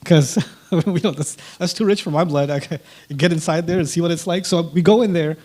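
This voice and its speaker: A man whose first language is Urdu, a guest in an interview, telling a personal story in an animated way and acting out quoted dialogue. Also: on the high side, fairly quick.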